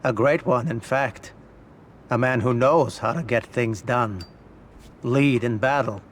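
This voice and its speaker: Male actor as older crime boss, measured, understated authority, calculating, game cutscene, faint rumbling noise. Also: natural voice. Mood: measured, probing. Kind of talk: video game cutscene acting.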